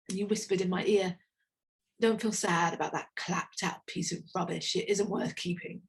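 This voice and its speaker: Woman reading a tender spoken-word poem. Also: medium pitch. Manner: slow, intimate, reflective delivery. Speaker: poet.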